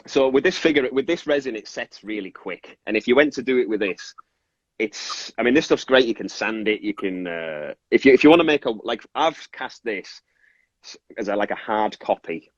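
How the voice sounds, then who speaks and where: A man's voice: medium pitch, lively intonation, normal speed. A man from northern England with a Cumbrian accent touched by Scottish, casually explaining his craft in a rambling, self-interrupting way. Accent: Cumbrian northern English with Scottish influence. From Carlisle, Cumbria, northern England. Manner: rambling, self-interrupting, apologetic about tangents. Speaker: toy maker and resin artist, guest. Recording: muffled, echoey room sound.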